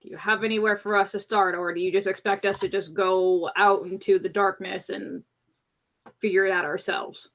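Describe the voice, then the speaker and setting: A woman's voice: medium pitch, flat delivery, moderate pace, close to an everyday voice. A woman as a blunt, skeptical vampire. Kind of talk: in-character tabletop roleplay.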